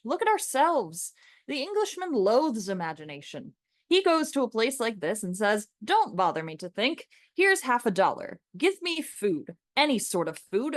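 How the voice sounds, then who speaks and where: A woman's voice: on the high side, no room sound, studio quality. A woman playing an opinionated, sardonic young man in a radio play, lecturing in an emphatic, mocking way.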